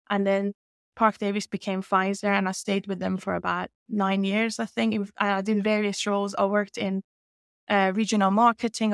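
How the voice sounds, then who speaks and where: A woman in her fifties from Iran talks steadily through her career. Her accent mixes Scottish and English, with a smooth, resonant tone and some gravel underneath. The recording is dry and close. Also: medium pitch, no room sound. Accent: mixed Scottish-English. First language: Persian. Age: 50s. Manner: fluent, steady telling of her career with fillers. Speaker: guest, company founder. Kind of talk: conversational interview.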